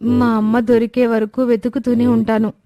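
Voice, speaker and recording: A woman's voice: fairly high pitch, level tone, normal speed, mellow, no room sound, voice pushed up, lightly acted. A woman voicing an earnest, plaintive young daughter searching for her mother in a moral story. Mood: yearning, determined. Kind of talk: Telugu moral story character acting.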